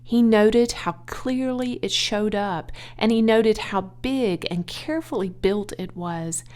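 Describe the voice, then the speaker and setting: Unhurried woman reading a children's story. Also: mid-range voice, darkish tone. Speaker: audiobook reader. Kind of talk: expressive children's story narration.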